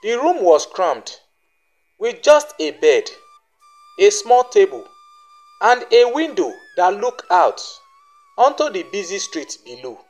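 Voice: mid-range voice, big pitch swings, slow, long pauses, darkish tone, soft background music. A narrator reading a scripted folktale as a storyteller.

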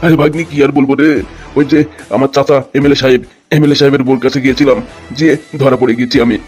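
Very fast-talking rattled rogue, played by a man. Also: medium pitch, level tone, darkish tone, soft background music, natural voice. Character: roguish gang boss, boastful yet rattled. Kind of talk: comic Bengali village cartoon dub.

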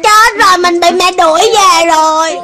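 A woman's voice: extremely high pitch, moderate pace, loud music behind the voice. A woman voicing an anxious young robot cat, fretful and exclaiming but polite like a dutiful child, in a cartoon dub. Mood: flustered. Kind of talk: Vietnamese cartoon dub acting.

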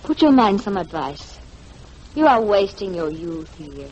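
An actress playing a worldly, amoral married femme fatale in a 1940s radio drama, with formal phrasing. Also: female voice, fairly low pitch, very animated, a little slow, darkish tone, slightly rough, dull audio, full character voice. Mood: seductive. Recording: 1940s radio recording, faint noise.

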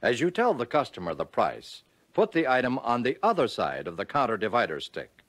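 Lively-pitched American man, 1940s instructional narrator. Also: dry recording. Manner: instructive, measured emphasis on key phrases. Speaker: training film narrator.

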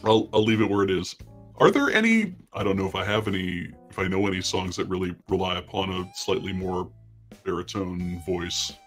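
Man with a pitched-down, modulated low voice. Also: expressive, fairly quick, faint music behind. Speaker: charity stream host. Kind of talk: casual chat on a livestream. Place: indoor room, livestream from a seat.

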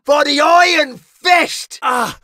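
A man's voice: very high voice, slow pace, dry recording, clean studio sound, heavily acted. A man playing a rowdy, cocky, foul-mouthed Irish mercenary soldier in a medieval battle video game. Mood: fierce, rallying. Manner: shouting, with pained cries and grunts.

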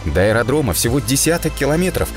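A man reading a dramatic first-person story as an audiobook narrator, expressively and in short measured phrases. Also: medium pitch, big pitch swings. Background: music.

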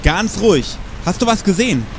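An actor playing a brazen, scheming police detective in a German audio drama, casual and insistent in manner. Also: male voice, high-pitched, big pitch swings, brisk, background noise. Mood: brazen, commanding. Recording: rain and music behind.

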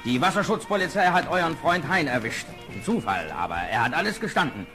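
Lively, higher-pitched sceptical policeman. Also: brisk, faint music behind. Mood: stern, official. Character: sceptical, stern policeman on night patrol. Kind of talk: radio play acting.

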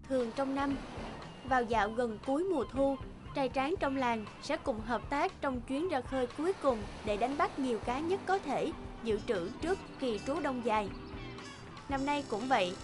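A woman narrates a fairy tale in a high voice. She reads from a script as the storyteller of a cartoon, with music playing clearly behind her. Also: lively intonation, normal speed. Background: background music.

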